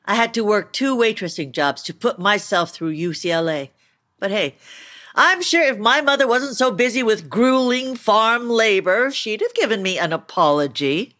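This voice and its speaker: A woman's voice: mid-range voice, expressive, moderate pace, a bit bright, natural voice. An actress in an audio play, playing a tough, scolding middle-aged mother with a curt, sardonic manner. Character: tough, unsentimental, scolding immigrant-family mother.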